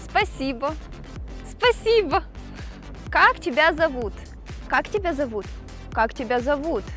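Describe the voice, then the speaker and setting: A woman teaching phrases in a slow lesson style, deliberately repeating each one. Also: sits high, animated, pushed and bright, soft background music. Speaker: language teacher demonstrating phrases.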